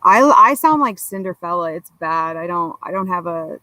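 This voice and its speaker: A young woman, late twenties, whose voice sits low enough to sound masculine. She talks as an interview guest, casual and frank, with a self-deprecating streak. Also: animated, moderate pace, sharp tone, no room sound, rough recording. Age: late 20s. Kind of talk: casual candid chat.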